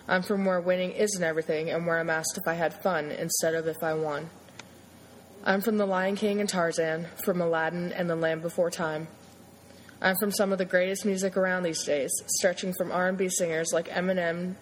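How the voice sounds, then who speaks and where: A young woman reading her own poem aloud in a steady, earnest way. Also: on the low side, a little hiss or hum, poor audio. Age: young adult.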